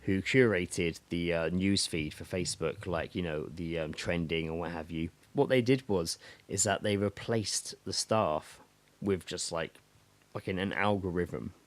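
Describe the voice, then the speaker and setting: Lowish-voiced man in matey podcast chat. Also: measured pace. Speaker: podcast co-host. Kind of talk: casual banter chat.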